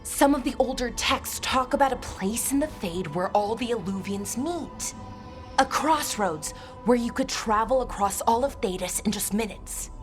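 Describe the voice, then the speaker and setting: Woman as a higher-pitched, thoughtful scholarly adventurer. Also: moderate pace, soft background music, lightly acted. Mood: curious, thoughtful. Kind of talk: video game voice acting.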